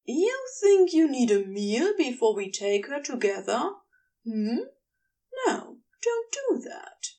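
A woman voicing a commanding, lustful male houseguest in an audiobook story, teasing and coolly controlling, with deliberate delivery. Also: sits high, big pitch swings, warm tone, recorded from a distance, full character voice. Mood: domineering.

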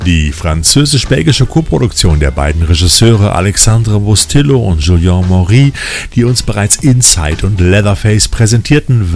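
Male film-news host, low register, playful scripted narration over a light music bed. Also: darkish tone. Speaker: host of a weekly trash film news show. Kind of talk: scripted upbeat review narration.

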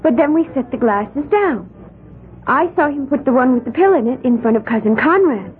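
A woman's voice: high voice, expressive, moderate pace. A serene, dreamy young woman. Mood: calm. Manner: placid, matter-of-fact, faintly dreamy. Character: serene, odd young woman with a strange secret. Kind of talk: 1970s radio drama acting. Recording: thin, phone-like old radio recording.

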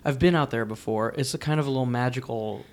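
A man's voice: medium pitch, quick pace, a little mellow. A man hosting a podcast, chatting casually and enthusiastically with his guest, with many eager agreeing interjections. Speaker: podcast host.

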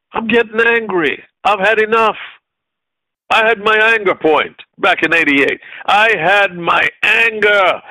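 A man's voice: sits high, bright, forceful tone, no room sound, rough recording. A man preaching a fervent sermon, emphatic and repeating short phrases for effect.

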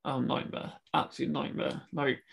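A man's voice: medium pitch, little pitch movement, normal speed, vocal fry, breathy edge. A young British man with a mild stammer, talking casually and hesitantly about his experience. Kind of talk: casual conversation.

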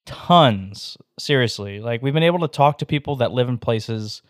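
A young man rambles through his points with plenty of fillers and the odd joke at his own expense. His voice has an ordinary mid pitch, and the recording is dry and close, with no room echo. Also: animated, moderate pace. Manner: rambling, filler-heavy, self-deprecating jokes. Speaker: podcast co-host. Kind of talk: casual chat.

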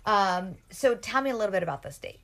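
A woman's voice: medium pitch, lively intonation, fairly quick, a bit bright. A woman in her thirties co-hosting a casual chat, candid and laid-back. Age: 30s. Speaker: podcast co-host.

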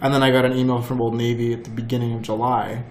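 A man's voice: medium pitch, level tone, fast, a little mellow. A man co-hosting a podcast, telling casual anecdotes in a chatty group conversation. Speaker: podcast co-host. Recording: roomy echo, audible background noise.

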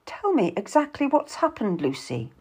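A woman's voice: fairly high pitch, huge pitch swings, normal speed, darkish tone, breathy edge, voice pushed up, exaggerated voice. A woman voicing a composed, sceptical genteel lady, cool and unconvinced, in an audiobook story.

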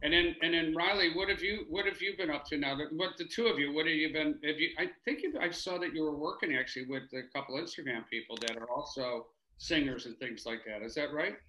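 A man's voice: fairly high pitch, quick pace, hardly any pauses, sharp tone. A man hosting a casual interview, affirming answers with repeated words and asking loose questions. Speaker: podcast host. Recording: echoey room.

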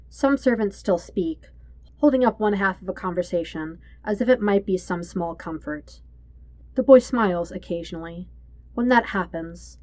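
A woman with a fairly low voice narrates a story from a script. Her delivery is measured and solemn, and the recording is close and dry, with little room sound. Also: darkish tone, dry recording. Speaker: fan fiction narrator.

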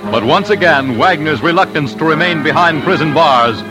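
A man's voice: a little high, expressive, moderate pace, poor audio. A man delivering dramatic scripted narration for an old-time radio documentary. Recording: old radio broadcast with music.

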